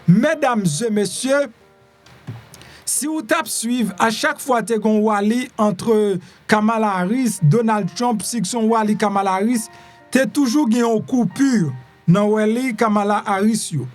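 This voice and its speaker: A man's voice: sits high, unhurried, faint music behind. A man with a Haitian accent giving informal news commentary, conversational, repeating phrases and putting questions to the listener. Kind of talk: informal news commentary in Creole with some French and English.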